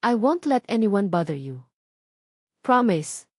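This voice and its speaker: A woman voicing a calm, reassuring billionaire man in a read-aloud love story, his lines steady and mixing English into Tagalog. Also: medium pitch, takes it slowly, dry recording, clean studio sound.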